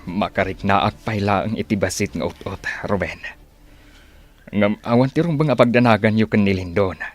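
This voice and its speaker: An actor playing a calm, wise old uncle who counsels in a measured, reassuring way, in an Ilocano-accented radio drama. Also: male voice, fairly low pitch, lightly acted. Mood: resolute, steady. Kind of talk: Filipino radio drama acting.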